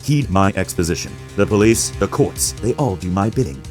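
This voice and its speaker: A man's voice: lowish voice, lively intonation, moderate pace, music in the background, close to an everyday voice. An actor playing a pompous, menacing corporate boss in a video game, sneering and theatrical as he talks down to people. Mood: smug, menacing. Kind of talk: dramatic video game character acting.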